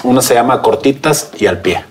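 A man's voice: mid-range voice, a little fast. A man in his fifties with a Mexican accent, hosting a casual interview in a familiar, joking way. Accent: Mexican Spanish. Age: 50s. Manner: joking, familiar, laughs easily. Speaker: host.

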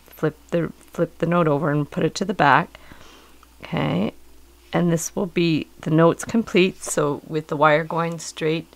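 Middle-aged woman with a cold, low gravelly voice, calmly walking you through a craft, dry close sound. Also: a little slow, dry recording. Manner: calm, patient, explanatory. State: hoarse from a cold. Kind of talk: step-by-step craft instruction. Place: indoors at a work table.

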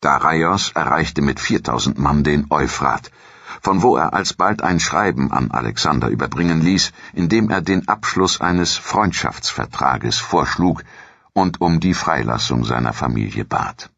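Extremely deep, gravelly male narrator. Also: lively intonation, measured pace, a bit bright, dry recording, muffled recording. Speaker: documentary narrator. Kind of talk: scripted history narration.

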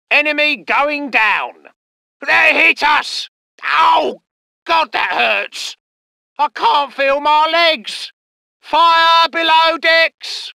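An actor playing a dutiful, proper British naval ensign in a video game, with clipped, formal military phrasing. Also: male voice, very high-pitched, gravelly, sharp tone, smooth, dry recording, full character voice. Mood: grimly satisfied, then alarmed, shouting. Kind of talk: military unit voice lines.

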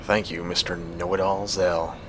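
A man voicing an aloof, sullen young mercenary with terse, deadpan lines in an amateur game fandub. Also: low voice, a little slow, a little hiss or hum. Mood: dry, detached.

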